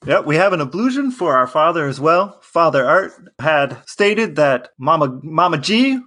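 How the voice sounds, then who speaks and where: Lively-pitched deadpan American man. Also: moderate pace. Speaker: podcast co-host. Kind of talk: deadpan comic banter.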